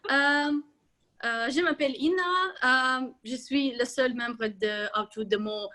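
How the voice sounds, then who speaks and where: Woman, high voice, slow halting delivery, filler sounds, video call. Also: pushed and bright. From Ukraine. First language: Russian and Ukrainian. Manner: hesitant, many filler sounds, restarts words. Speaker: club member giving a speech. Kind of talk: hesitant prepared speech.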